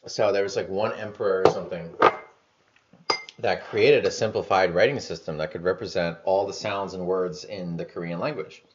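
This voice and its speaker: A man's voice: deep voice, steady tone, normal speed, a little hiss or hum. A man talking through his thoughts in a loose, rambling way, full of fillers, restarts and the odd casual swear. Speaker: vlogger. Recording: dull lo-fi sound.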